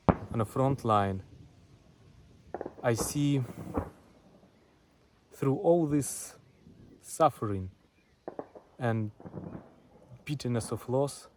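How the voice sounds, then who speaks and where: Very slow Ukrainian-accented man, noisy recording. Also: medium pitch. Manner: deliberate, broken into short phrases. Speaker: poet and front-line witness sending a message. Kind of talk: recorded spoken address to a poetry audience.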